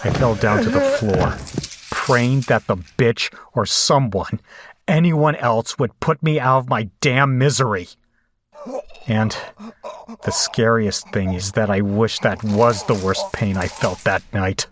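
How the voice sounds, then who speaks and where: A man playing a frightened, battered captive in a horror audio drama, telling the ordeal in the first person with deliberate, dramatic delivery. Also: fairly low pitch, lively intonation, noisy background. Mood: grim, haunted recounting. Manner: deliberate, dramatic storytelling with hesitant, pained dialogue.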